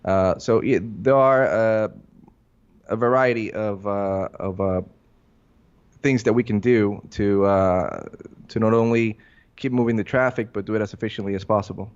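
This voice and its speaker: A man with a lowish voice gives unscripted interview answers. He talks haltingly, feeling his way through sentences with many fillers. The sound is somewhat dull and muffled. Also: fairly even intonation, smooth. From Puerto Rico. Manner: halting, hesitant, filler-heavy phrasing. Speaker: interview guest, air traffic controller. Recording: muffled lo-fi recording.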